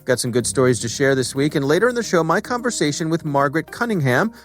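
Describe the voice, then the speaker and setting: A man's voice: fairly high pitch, very animated, quick pace, soft background music. An American man working as a podcast host, moving between scripted introductions and casual chat with a co-host.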